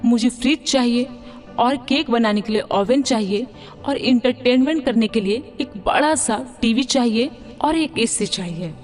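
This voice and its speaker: Spoiled high-voiced young city bride, acted by a woman. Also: measured pace, a little mellow, faint music behind, lightly acted. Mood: angry, demanding. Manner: whiny, demanding, mixes English words into Hindi. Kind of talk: Hindi cartoon moral story dialogue.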